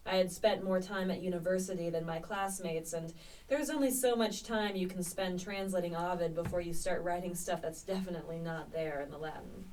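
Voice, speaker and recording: A woman's voice: on the low side, a little mellow, lightly acted. Distant, wry young male poet-spy, played by a woman. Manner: wry, literary, articulate. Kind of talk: radio play monologue and dialogue. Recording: distant mic with background noise.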